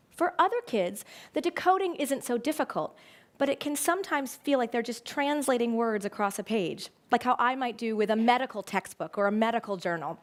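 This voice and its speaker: Female educator, middle-aged, giving a prepared public talk: high-ish voice, expressive storyteller, emphatic phrasing.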